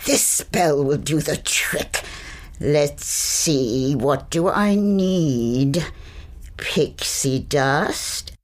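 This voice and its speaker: Eccentric old witch grandmother played by a woman: lowish voice, slow, lively swings, theatrical and easily exasperated, fantasy radio play. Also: a little hiss or hum, exaggerated voice. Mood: muttering, absorbed. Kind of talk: audio fiction fantasy acting.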